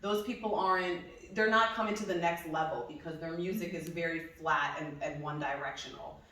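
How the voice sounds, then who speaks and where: A young woman from New Jersey whose first language is Hebrew, chatting casually in an interview about her music, laughing often and filling pauses with ums. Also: low voice, steady tone, sharp tone. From New Jersey, USA. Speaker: singer being interviewed. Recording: echoey room, voice from a distance.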